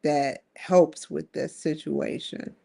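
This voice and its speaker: A woman's voice: low voice, fairly even intonation, slow, gravelly, dark tone, husky. A woman in her forties hosting a live-streamed solo talk show, rambling earnestly with frequent restarts. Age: 40s. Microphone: streaming setup.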